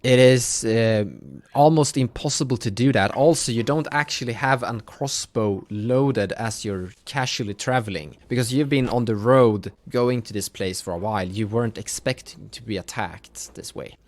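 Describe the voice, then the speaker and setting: A man improvising narration as a game master, dramatic but often halting and correcting himself. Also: mid-range voice, a little mellow, no echo.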